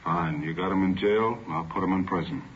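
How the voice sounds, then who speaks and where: An American man playing a brisk, tough, authoritative chief of detectives in a 1940s radio drama. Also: deep voice, over loud music, close to an everyday voice. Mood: brisk, commanding. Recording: dull, noisy old radio recording.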